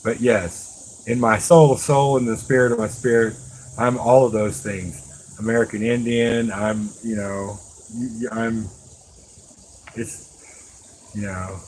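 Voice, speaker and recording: A man's voice: fairly low pitch, fairly even intonation, unhurried, pauses often, darkish tone, slight background noise, rough recording. A middle-aged man chatting casually and folksily to live stream viewers as he works with his hands, rambling along as he talks to them directly. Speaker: live streamer and knife maker. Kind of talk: casual live stream chat while working.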